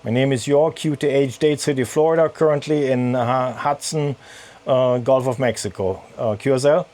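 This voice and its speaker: A man from Germany with a German accent, talking over ham radio from his sailboat in halting speech full of fillers. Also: mid-range voice, fairly even intonation, a little mellow. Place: sailboat cabin in a marina.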